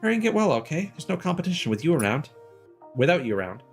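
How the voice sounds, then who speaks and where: A man reading game dialogue as a prickly, competitive young female dancer, brisk and defensive. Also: fairly high pitch, sing-song, faint music behind, voice pushed up. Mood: aloof but caring.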